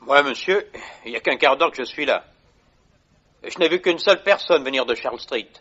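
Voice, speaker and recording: Casting: bright lively constable, plain and unsure, as a man puts him on, muffled audio. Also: mid-range voice, brisk, voice pushed up. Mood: matter-of-fact. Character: plain-spoken male street policeman. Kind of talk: radio drama acting. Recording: dull muffled audio recording.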